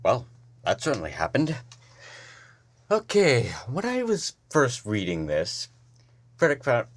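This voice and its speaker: A man giving casual, rambling commentary after a story reading, often halting and restarting his words. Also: medium pitch, wildly swooping pitch.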